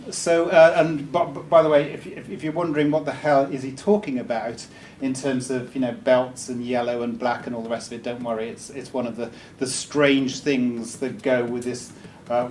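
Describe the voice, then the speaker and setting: Man, casual joking speaker, um-heavy, mid pitch; distant room mic. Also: fairly even intonation, normal speed, darkish tone, a little hiss or hum. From Wales. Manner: chatty, joking, with many ums and restarts. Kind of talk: informal talk to a live audience. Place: meeting room.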